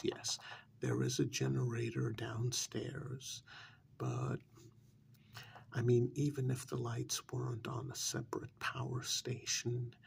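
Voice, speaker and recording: Casting: male actor for a humble, nervous servant to a dark mistress, hushed ASMR delivery, heavy vocal fry, slightly slow and halting. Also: medium pitch, slight background noise, heavily acted. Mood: apologetic, explaining. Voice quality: soft, breathy, gravelly. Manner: hesitant, apologetic, obedient, explaining carefully. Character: deferential, flustered supernatural manservant to a powerful mistress. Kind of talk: soft-spoken ASMR role-play.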